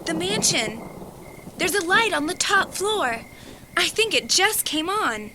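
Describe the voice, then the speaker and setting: Easygoing, game-for-anything girl, kids' horror tape: high voice, unhurried, breezy. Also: big pitch swings, background noise, heavily acted. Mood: persuasive. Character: adventurous, easygoing young girl, a friend on a dare. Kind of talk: children's audio horror drama acting.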